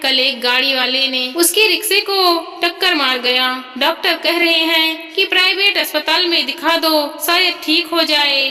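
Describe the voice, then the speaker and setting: A woman's voice: extremely high pitch, unhurried, few pauses, pushed and bright, exaggerated voice. A woman voicing a poor, worried housemaid in a story, speaking in a plaintive, distressed way. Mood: distressed, pleading. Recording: long reverb, music behind.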